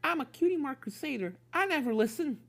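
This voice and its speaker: A woman voicing a plucky, reckless young filly ghost hunter with an excitable, impulsive manner in a fan story reading. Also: sits high, fairly quick, voice pushed up. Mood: cocky. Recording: game music under the voice.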